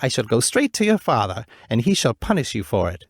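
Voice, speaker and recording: A man's voice: mid-range voice, wildly swooping pitch, fairly quick, breathy edge, dry recording, clean studio sound, full character voice. A man putting on a scornful wicked queen. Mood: furious. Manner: scornful, accusing.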